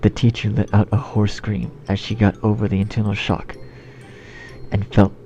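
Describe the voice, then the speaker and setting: A man narrating fan fiction in outtakes, halting and restarting, swearing at his own slips in a self-deprecating way. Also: on the low side, flat delivery. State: shivering from cold. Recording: dull lo-fi sound, loud music. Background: loud music.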